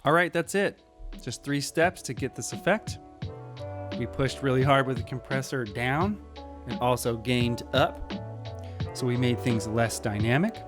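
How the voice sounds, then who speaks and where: A man with a medium-pitched voice presents a tutorial. He talks informally and casually, walking the listener through each step. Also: lively intonation, a little slow, over music. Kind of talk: casual screen tutorial narration.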